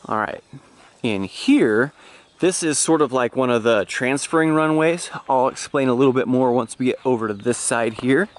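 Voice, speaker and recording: A man's voice: mid-range voice, normal speed. A man in his thirties giving an informal, off-the-cuff walking tour on a handheld camera outdoors. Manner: informal, loosely explanatory. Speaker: farm tour vlogger. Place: outdoors in farm pens. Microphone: handheld action camera at arm's length. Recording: outdoor camera audio with noise and clicks.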